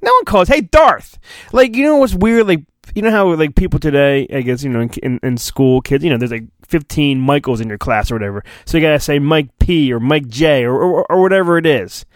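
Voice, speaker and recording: Animated man giving a mock-dramatic reading. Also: fairly high pitch, brisk, few pauses, no echo, clean studio sound. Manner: theatrical when reading, rambling and sarcastic in asides. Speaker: podcast host. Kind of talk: mock-dramatic storybook reading with casual riffing.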